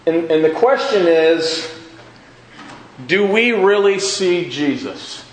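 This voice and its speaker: A man with a slightly high voice leads a Bible class in a very reverberant room. He speaks informally, circling back over his questions and rewording them for the group. There is faint noise in the recording. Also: animated, slow pace. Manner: repeats and rephrases questions for emphasis. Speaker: Bible class teacher at a church. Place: large echoey indoor room with a class present.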